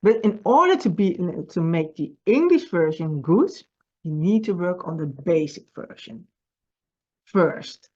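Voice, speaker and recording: A woman with a Dutch accent, a native Dutch speaker, giving earnest writing advice in a personal video message as a publisher, halting and often repeating words. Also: lowish voice, sing-song, dark tone, highs cut off.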